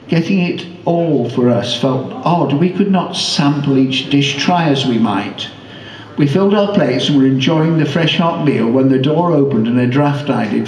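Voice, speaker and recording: Guy reading a funny rhyming poem, slightly high voice, echoey, bit far from the mic. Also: a little mellow. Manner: measured storytelling in rhyme. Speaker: poet performing own work. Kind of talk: comic rhyming poem recital. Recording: echoey room, distant microphone.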